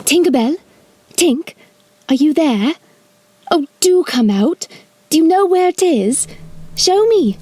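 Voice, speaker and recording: A cocky, playful boy who refuses to grow up, acted by a woman. The voice is fairly high and takes its time, recorded close and dry. The delivery is theatrical, suited to an audio drama. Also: lively intonation, slow, pauses often, warm tone, no room sound. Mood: searching. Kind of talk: audio drama acting, also narrating own stage actions.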